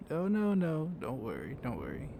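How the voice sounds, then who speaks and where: A man playing a gently dominant, comforting vampire librarian in an intimate ASMR roleplay, speaking softly and haltingly, often slipping into a whisper. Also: a little high, exaggerated voice. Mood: teasing, confiding. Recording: clear storm noise behind the voice. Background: thunderstorm ambience.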